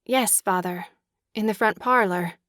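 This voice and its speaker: A woman playing a gentle, timid, earnest young daughter in a period audiobook drama, speaking softly and sincerely. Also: mid-range voice, a little slow, dry recording, clean studio sound, close to an everyday voice. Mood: shy, earnest. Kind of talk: full-cast audiobook period drama acting.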